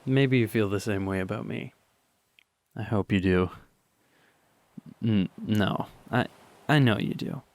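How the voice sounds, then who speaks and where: A young man playing an earnest, nervous teenage boy in love, speaking softly and stammering in a student radio drama. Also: on the low side, no echo. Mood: wistful. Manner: halting, stammering, soft.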